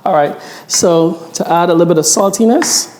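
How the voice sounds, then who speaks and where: A woman chef from the Bahamas, relaxed and explaining as she cooks in a studio kitchen. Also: fairly low pitch, normal speed, reverberant, slight background noise, rough recording. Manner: relaxed, explains while working, frequent mm-hmms. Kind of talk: cooking demonstration.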